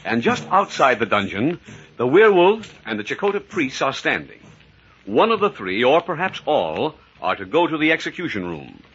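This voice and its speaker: Male 1940s-style radio narrator: dramatic, animated, mid-pitched, scripted; dull, slightly noisy sound. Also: normal speed. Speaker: announcer and narrator. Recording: muffled, noisy vintage radio recording.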